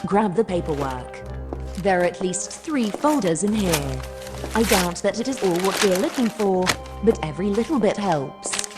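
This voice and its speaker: A woman's voice: mid-range voice, lively intonation, normal speed, lightly acted. A woman playing a bossy, flirtatious gun-toting survivor in an audio drama, brash and teasing. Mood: amazed, pleased. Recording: music under the voice.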